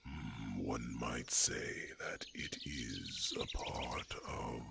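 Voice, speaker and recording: A huge old dragon, wise and deliberate, in a fantasy ASMR roleplay. The voice is extremely low and thick with gravelly creak, breathing out half-whispered phrases between long pauses and rumbling hums. Clear background noise sits behind it. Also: male voice, exaggerated voice. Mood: grave, musing. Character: ancient, huge, wise dragon mentoring a young one.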